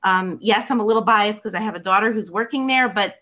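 A woman's voice: mid-range voice, fairly quick, muffled recording. An older American woman with a Philadelphia accent, chatting on a video call from her home in a rambling way, full of fillers and restarts. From United States. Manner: rambling, warm storytelling with many fillers and restarts. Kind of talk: casual chat. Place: home room with bookshelves.

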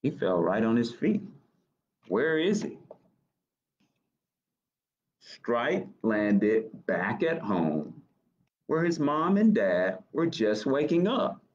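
An American man in middle age reads a children's picture book aloud at an unhurried pace. His pitch swings expressively as he stops to ask little questions. The webcam recording sounds slightly dull. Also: sing-song. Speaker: library storytime reader. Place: small office, indoors.

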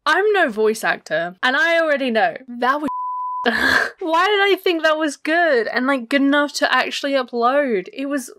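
A woman's voice: high voice, lively intonation, normal speed, fairly bright tone. A young adult woman vlogger giving casual, self-mocking reaction commentary from her bedroom, laughing often. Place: bedroom, indoors.

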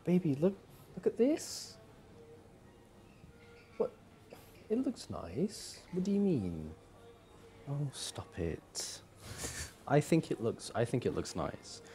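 A young man performing casual ASMR boyfriend roleplay as an easygoing, affectionate partner, talking in a hesitant, halting way. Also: fairly low pitch, huge pitch swings, dark tone. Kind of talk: ASMR boyfriend audio roleplay, casual one-sided chat. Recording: clearly audible background noise.

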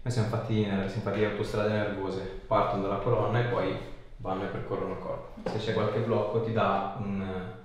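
A low-pitched man speaks as a chiropractor in a small, heavily echoing treatment room. He guides a patient with encouraging, simple instructions, over faint background noise. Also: flat delivery, brisk. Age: 30s. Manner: reassuring, encouraging. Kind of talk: hands-on exam talk, short instructions to a patient.

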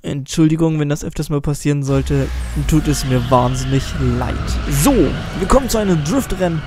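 Teen let's-play gamer, slightly high voice, loose rambling commentary, mild cold, game music underneath. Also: male voice, animated, moderate pace. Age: teens. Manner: rambling, chatty, self-deprecating. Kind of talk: casual gameplay commentary. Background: game music and car sounds.

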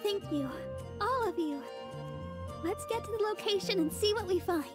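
A woman voicing a sweet, gentle young idol in a game cutscene, soft and high-voiced, timid and stammering. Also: expressive, moderate pace, music in the background. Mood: steadied.

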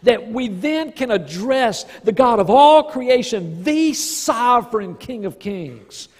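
A man in his fifties preaching a church sermon as a pastor, earnest and emphatic, with deliberate, drawn-out phrases in a reverberant church hall. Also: very high-pitched, expressive. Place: large church sanctuary stage, indoors.

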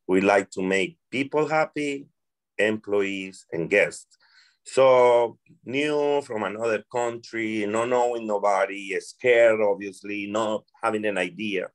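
A man in his forties from Colombia, Spanish his first language, speaking English with a Colombian accent in an interview, slow and careful as he searches for words. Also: on the low side. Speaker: restaurant worker guest. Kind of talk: interview conversation.

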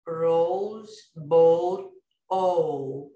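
Woman, Bosnian native speaker, hesitant careful repetition, roomy echo, very slow. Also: on the low side, warm tone. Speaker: learner repeating drills. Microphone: webcam on a video call. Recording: echoey room on a video call.

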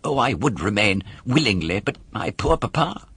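A man voicing a naive, affectionate little boy puppet from a storybook in an earnest, excitable manner. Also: fairly low pitch, moderate pace, husky, no room sound, exaggerated voice. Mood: eager, affectionate.

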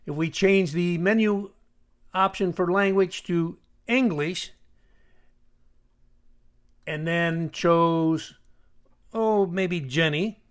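A man with a high-pitched voice hosts a software tutorial voice-over. He explains each step in a deliberate way and puts pointed emphasis on what matters. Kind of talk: screencast tutorial narration. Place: voice-over for a screen recording.

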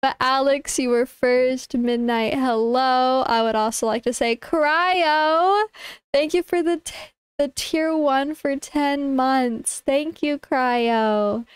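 A young woman on a live stream with a high, clean voice that sounds a little stuffy and congested. She talks slowly and chattily, greeting viewers by name and stumbling over her words. The recording is dry and close. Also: clear, resonant tone, no echo. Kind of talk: casual stream chat.

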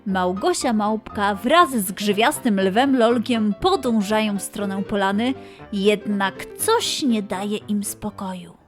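A woman's voice: mid-range voice, slow, soft background music. A woman narrating a scripted story for children as its storyteller.

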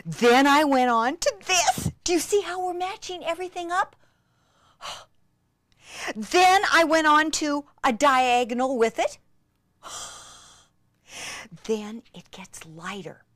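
A woman giving an enthusiastic hands-on craft demonstration, gushing and breathlessly excitable, with hushed asides. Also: sits high, slow pace, pauses often, no room sound. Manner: gushing, breathlessly excited, with frequent gasps and exclamations.

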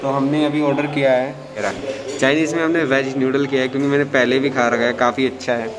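A man's voice: mid-range voice, little pitch movement, fast talker. A man, chatting casually and teasing his companion as he films a food vlog in a small restaurant. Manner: chatty, teasing, mixes a little English. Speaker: food vlogger.

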